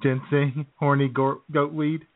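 A man's voice: on the high side, flat delivery, measured pace. A man co-hosting a comedy radio show in casual, sardonic banter with crude humour. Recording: narrow phone-like audio.

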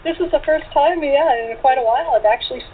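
Higher-voiced woman on tinny call. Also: fast talker. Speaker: cast member and writer. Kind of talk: casual chat, reminiscing. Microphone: internet call. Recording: tinny phone-like line, faint noise.

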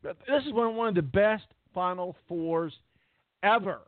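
A man hosting a sports radio show in casual chat, rambling with fillers and repeated words, with a voice that is going hoarse. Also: high voice, moderate pace, no room sound. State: losing his voice. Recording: tinny phone-line quality, dry.

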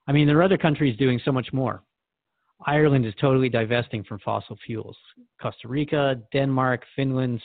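Male interviewer, scripted radio drama, fast-talking, mid-pitched, frank questioning, narrow telephone-like audio. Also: gravelly, dry recording.

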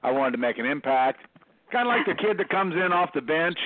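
A man of middle pitch jumps into a talk show with loud, joking remarks. His voice is dry and thin, as if heard over a phone. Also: sing-song, a little fast, no room sound. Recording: dry, tinny phone-line audio.

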